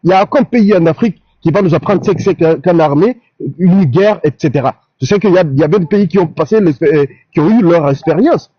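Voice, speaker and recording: A man from Guinea-Bissau with a Bissau-Guinean accent calling into a radio debate, speaking in halting, impassioned bursts full of restarts and fillers over a phone line. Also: a little high, breathy edge, no room sound. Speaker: caller.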